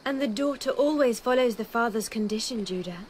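Female actor young daughter, higher pitch, gentle, anxious, deferential, radio drama. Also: a little fast, slight background noise, natural voice. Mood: dutiful.

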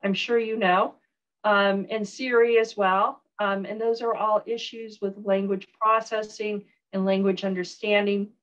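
A woman professor with a Southern US accent giving a recorded online lecture, relaxed, with frequent ums and uhs. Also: medium pitch, unhurried, lo-fi sound.

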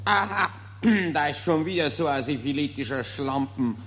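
Casting: contemptuous Viennese officer, gruff, dismissive, highish and animated, for vintage radio drama. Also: male voice, normal speed. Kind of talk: mid-century German-language radio drama acting.

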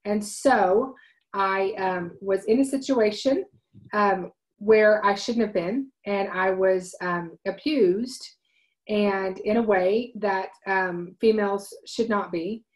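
Woman in her forties, earnest, halting with ums, loud voice, home webcam, mid pitch. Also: low-quality recording. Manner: earnest, many ums and restarts, talks with her hands. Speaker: host of a wellness livestream. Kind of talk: casual personal storytelling. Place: home room, indoors. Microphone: webcam at desk.

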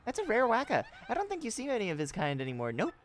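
A woman's voice: a little high, huge pitch swings, rapid-fire, slight background noise. A woman voicing a chatty, earnest young female sidekick in a video game, explaining things expressively. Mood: pointed, informative.

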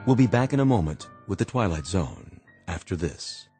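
Lowish-pitched American male announcer. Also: lively intonation, moderate pace, a little mellow, soft background music. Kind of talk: scripted radio announcing.

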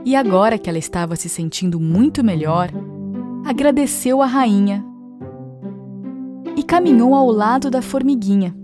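A Brazilian woman narrating a scripted children's fable in an expressive storytelling style. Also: fairly high pitch, normal speed, long pauses. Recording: music behind the voice.

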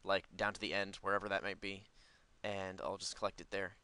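A man's voice: low voice, flat delivery, brisk, bright, forceful tone, smooth. A man giving casual, unscripted gameplay commentary, rambling as he thinks aloud. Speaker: gaming video host.

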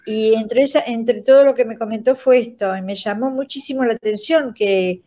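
A woman's voice: on the high side, normal speed, dark tone. An effusive, sentimental Argentine woman in her sixties, chatting as an interview guest about her work. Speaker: radio drama director, guest. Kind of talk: casual conversational interview. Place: indoors at home. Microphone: phone or laptop on video call. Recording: dull lo-fi video call.

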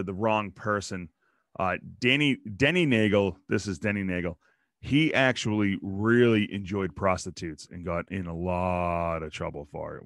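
A man in his thirties hosting a casual solo show, rambling and joking as he opens card packs in a small home room on a boom mic. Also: fairly bright tone, no room sound, clean studio sound. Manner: rambling, joking asides about players. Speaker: podcast host opening baseball card packs. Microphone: studio mic on boom arm, headphones.

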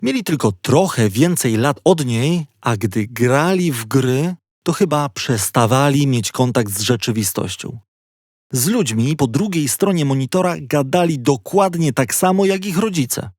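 A man narrates a children's story in a mid-pitched voice. He speaks conversationally, slipping in wry, playful asides to the listener. The recording is dry and free of room sound. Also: lively intonation, a little slow, darkish tone, dry recording. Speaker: audiobook narrator. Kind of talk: scripted narration of a children's audiobook.

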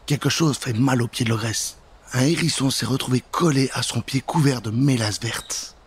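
A man's voice: medium pitch, normal speed, a little hiss or hum. A man in his thirties telling a children's Halloween story in a dramatic, suspenseful way at a studio microphone. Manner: theatrical, suspenseful. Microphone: studio microphone with pop filter.

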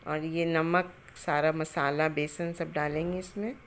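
A middle-aged woman with a somewhat low voice talks through a recipe step by step, calm and homely. She sounds like a home cook recording on a phone in her own kitchen, adding greetings and blessings as she goes. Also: a little slow, slight background noise. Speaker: cooking channel host.

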